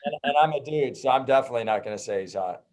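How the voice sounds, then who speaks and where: A man's voice: mid-range voice, expressive, very fast, darkish tone. A man with an American accent talking loosely and jokingly as a guest in a casual interview. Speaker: guest, activist group organizer. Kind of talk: casual chat.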